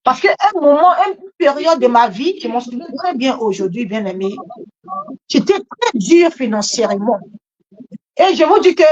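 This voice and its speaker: A woman exhorting as a church host in an animated charismatic style, emphatic and often repeating her phrases, on a dull lo-fi recording. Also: high-pitched, a little hiss or hum.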